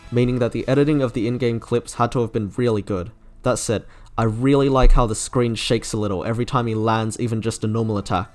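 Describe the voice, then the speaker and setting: Male video reviewer, scripted narration, mid-pitched voice, music underneath. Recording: music behind the voice.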